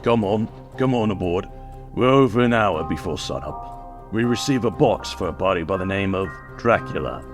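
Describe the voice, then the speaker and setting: A man playing a brusque foreign seaman who talks bluntly in halting broken English in a radio drama. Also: low-pitched, animated, music in the background. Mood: gruff, matter-of-fact. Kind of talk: old-time radio drama acting.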